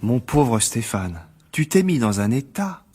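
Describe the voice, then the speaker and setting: An actor playing a smug, scheming blackmailer of a journalist in a radio mystery drama, insinuating and falsely polite. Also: male voice, mid-range voice, very animated, measured pace, darkish tone, faint music behind. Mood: mock pitying.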